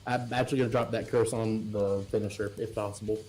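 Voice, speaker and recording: A man's voice: lowish voice, steady tone, fast, a little mellow. A man chatting informally as a player in an online tabletop game. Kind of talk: casual chat during an online game. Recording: music playing behind the voice. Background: music.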